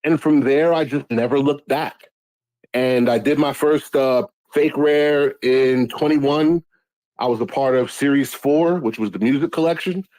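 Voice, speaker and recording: A man's voice: mid-range voice, measured pace, darkish tone, no echo, poor audio. A man from Guyana, a guest musician, telling stories in a sarcastic, profane, casual way. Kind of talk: casual chat on a live audio show.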